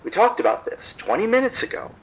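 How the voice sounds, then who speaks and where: A man's voice: very high-pitched, big pitch swings, quick pace, husky, heavily acted. An actor playing an irritated, proud husband and father who speaks curtly and defensively to the police over the phone. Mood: exasperated. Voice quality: curt, defensive. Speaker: caller. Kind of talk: audio drama acting. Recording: dull phone line.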